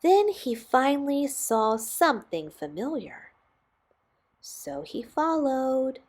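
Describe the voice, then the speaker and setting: A woman reading a children's picture book aloud expressively, with dramatic pauses and emphasis on key words. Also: high voice, sing-song. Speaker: storybook reader.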